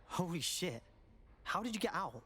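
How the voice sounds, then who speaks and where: A man's voice: sits high, very animated, moderate pace, bright, forceful tone, a little hiss or hum, exaggerated voice. A man playing a casual, irreverent hacker recruit in a video game, with offhand, colloquial delivery. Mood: hesitant.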